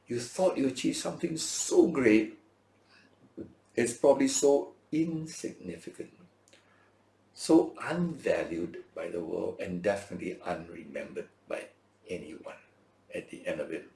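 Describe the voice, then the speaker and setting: Creaky-voiced man teaching in an echoey room. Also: low voice, darkish tone. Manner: rhetorical questions and check-in tags; dramatizes imagined speakers' words in everyday language. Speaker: Bible school teacher. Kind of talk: informal Bible lesson, conversational preaching. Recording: roomy, echoing teaching space.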